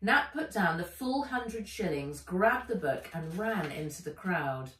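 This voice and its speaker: A woman author reading her children's adventure book aloud with dramatic, deliberate storybook narration. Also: on the low side, animated, fairly bright tone, slight background noise. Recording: roomy echo, a little far from mic.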